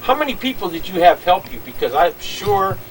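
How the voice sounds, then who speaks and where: A man's voice: sits high, big pitch swings, slight background noise. Chummy radio DJ man, slightly distant. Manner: upbeat, chummy, fast patter. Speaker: radio disc jockey host. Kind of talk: radio show patter and interview.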